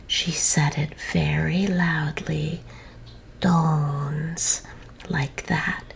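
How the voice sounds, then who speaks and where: A woman reading a children's story aloud in a soft, gentle whisper. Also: low-pitched, vocal fry, slight background noise.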